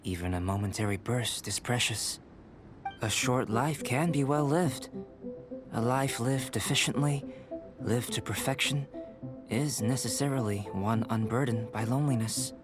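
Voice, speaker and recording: A man voices a young male alchemist from a fantasy game, reserved and thoughtful. He speaks in measured, formal sentences like a philosophical scholar. Clear music plays behind him. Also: medium pitch, close to an everyday voice. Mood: gently reflective. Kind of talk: scripted video game dialogue.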